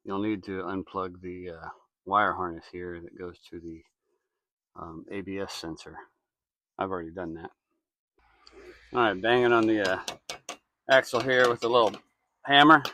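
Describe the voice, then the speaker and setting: A man's voice: deep voice, fairly even intonation, normal speed, pauses often, bright, forceful tone, dry recording. A man giving a casual, plainspoken how-to while he works with his hands, with noise and clicking behind him. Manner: plainspoken, folksy, talks while working. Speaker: DIY mechanic narrating a repair. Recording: clear background noise, clicks. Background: clicks and noise of repair work.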